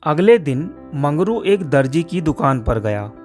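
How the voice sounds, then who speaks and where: Slow-paced male story narrator. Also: a little high, very animated. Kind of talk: scripted storybook narration for a cartoon. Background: soft guitar music.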